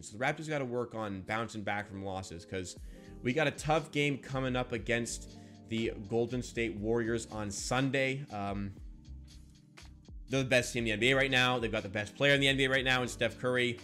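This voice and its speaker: A man's voice: medium pitch, normal speed, soft background music. A young man in his twenties hosting a basketball post-game show, recapping stats with fan energy. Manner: energetic, animated fan recap with hand gestures. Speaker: sports reaction host. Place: small room in front of a green screen.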